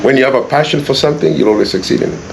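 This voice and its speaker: A man's voice: medium pitch, very fast, vocal fry. Echoey Kenyan man giving earnest interview answers. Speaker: interview guest, property developer. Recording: echoey, distant mic, faint background noise.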